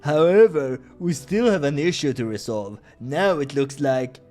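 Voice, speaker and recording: A man's voice: fairly high pitch, animated, a little slow, a little mellow, faint music behind. A man voicing a brash furry adventurer in a visual novel, teasing, blunt and wry.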